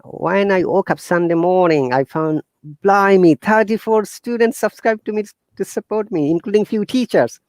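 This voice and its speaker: Bangladeshi-accented man, earnest and halting. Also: high-pitched, no echo. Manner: earnest, animated, halting with fillers and restarts. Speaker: guest teacher. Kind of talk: casual interview talk.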